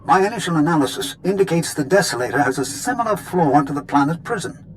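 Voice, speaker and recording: Casting: man as measured, precise sci-fi game advisor, slightly high voice, formal and composed, faint background noise. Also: animated, lightly acted, poor audio. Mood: analytical. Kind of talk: video game cutscene acting.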